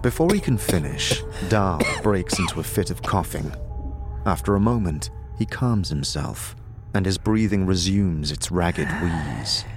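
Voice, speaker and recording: Low-voiced man narrating an audio drama. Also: expressive, measured pace, soft background music. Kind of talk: scripted second-person story narration.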